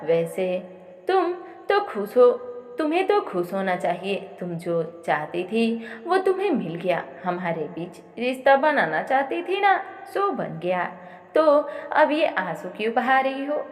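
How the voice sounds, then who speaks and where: A man's voice: high-pitched, very animated, measured pace, in a large hall, faint music behind. A woman voicing a cold, angry, domineering young businessman in an audio story. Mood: cruel taunting. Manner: threatening, domineering.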